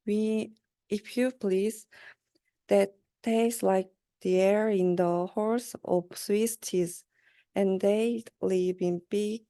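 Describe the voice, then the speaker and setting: A young woman, a student, reading a rhyming children's story aloud haltingly, stumbling over words. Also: mid-range voice, mellow, no room sound. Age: young adult. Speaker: student reader.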